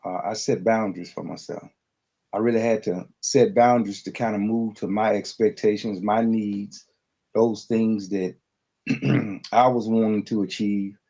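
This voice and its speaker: A man speaking reflectively in a podcast conversation, halting and earnest, with frequent pauses. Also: on the low side, warm tone. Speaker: podcast guest.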